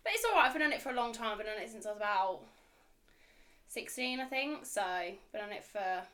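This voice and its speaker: Bright, youthful woman chatting casually. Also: fairly high pitch, lively intonation, fast. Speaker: podcast guest. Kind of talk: casual chat.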